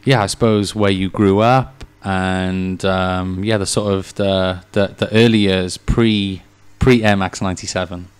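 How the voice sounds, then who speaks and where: A man's voice: low-pitched, fairly even intonation, unhurried, few pauses, smooth. A man hosting a casual interview, asking questions hesitantly with many fillers. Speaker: host.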